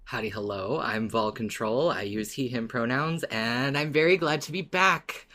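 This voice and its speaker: A man co-hosts a fan podcast in a medium-pitched voice. He is wry and playful, with a fan's enthusiasm. His delivery moves between casual chat and storylike recap. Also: moderate pace, sharp tone. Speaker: podcast co-host.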